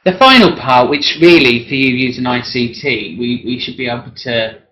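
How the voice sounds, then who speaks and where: A hoarse man who is losing his voice talks through an assessment plan one-to-one as a work-based assessor. He clears his throat often and speaks haltingly, with frequent tag questions. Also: mid-range voice, sharp tone, slight background noise. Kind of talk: one-to-one assessment planning talk. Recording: dull lo-fi recording.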